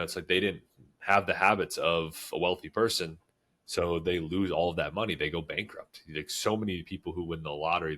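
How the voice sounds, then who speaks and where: A young man with a very low voice and a stuffy, congested nose from a cold. He co-hosts a casual podcast, talking loosely and filling his sentences with "like" and "right?". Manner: relaxed, repeats "like" and "right?" often. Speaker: podcast co-host.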